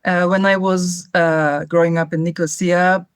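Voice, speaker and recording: Young cypriot woman, turkish first language, even monotone-ish voice, measured answers. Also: on the low side, clear, resonant tone, no echo, clean studio sound. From Cyprus. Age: young adult. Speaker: guest, travel writer. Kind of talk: interview answers.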